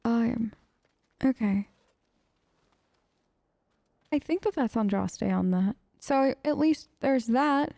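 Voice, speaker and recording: A woman's voice: on the high side, slow, gravelly, long pauses, dark tone, no room sound. A woman giving casual, playful let's play commentary, expressively talking to herself and to the game's characters. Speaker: gaming video host. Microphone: close dry voice-over microphone.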